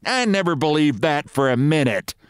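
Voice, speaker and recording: A man voicing a sharp-tongued middle-aged wife who scolds and mocks her husband. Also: on the high side, sing-song, moderate pace, clear, resonant tone, dry recording, clean studio sound, voice pushed up. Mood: scornful. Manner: scolding, sarcastic.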